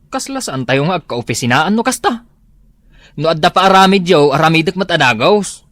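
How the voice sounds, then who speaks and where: A man's voice: fairly high pitch, expressive, brisk, fairly bright tone, no room sound, clean studio sound. A man playing a grumbling office coworker. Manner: complaining. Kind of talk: radio drama acting.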